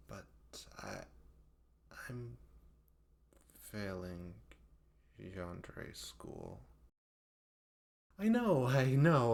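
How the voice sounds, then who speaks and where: A man's voice: fairly low pitch, vocal fry, heavily acted. A man playing a hapless young male best friend in a comedic audio roleplay, rambling and stammering in a flustered, self-deprecating way. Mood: sheepish, embarrassed. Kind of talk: comedic audio roleplay monologue addressed to the listener.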